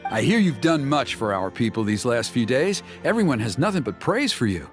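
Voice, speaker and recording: A man as a gracious leader, animated intonation. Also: medium pitch, moderate pace, soft background music, close to an everyday voice. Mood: welcoming. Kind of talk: video game voice acting.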